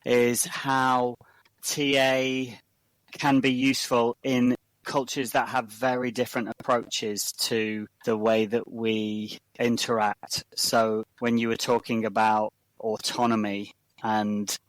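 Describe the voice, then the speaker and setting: A man's voice: mid-range voice, little pitch movement, slow, no echo, rough recording. Earnest man podcast host, dry sound. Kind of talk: casual reflection and outro announcement.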